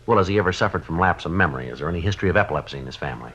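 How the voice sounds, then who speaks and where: An American actor playing a terse, level-headed police sergeant in an old radio drama, clipped and deadpan. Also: male voice, sits low, racing, slight background noise. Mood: matter-of-fact. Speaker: detective narrator. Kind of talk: 1950s radio drama, scripted narration. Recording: dull old radio recording, music behind.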